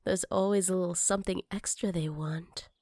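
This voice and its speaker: A woman's voice: lowish voice, fairly even intonation, fairly quick, warm tone, dry recording, studio quality, natural voice. A woman playing a jealous, flirty female villain in an intimate ASMR roleplay, speaking breathily and teasingly and often slipping into whispers. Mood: jealous, possessive.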